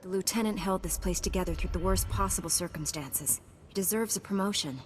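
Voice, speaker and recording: A woman playing a composed, resolute Jedi knight in a video game, calm, firm and dry. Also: on the low side, a little fast, close to an everyday voice. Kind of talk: video game cutscene acting. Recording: reverberant game audio, faint music.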